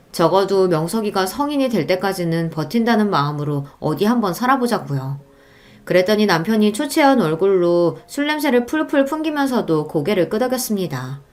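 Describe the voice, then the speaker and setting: Woman, medium pitch, scripted first-person narration, radio-story storyteller.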